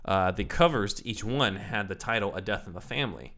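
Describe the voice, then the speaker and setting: A man's voice: on the low side, lively intonation, fairly quick, bright, forceful tone. A man hosting a casual podcast, explaining comic-book trivia with lots of fillers. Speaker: podcast host.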